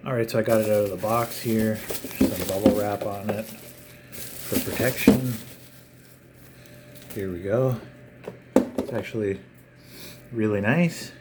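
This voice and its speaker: A man hosting a casual, unscripted unboxing vlog, talking in a halting way with frequent pauses. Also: fairly low pitch, steady tone. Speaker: video channel host. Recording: clear background noise.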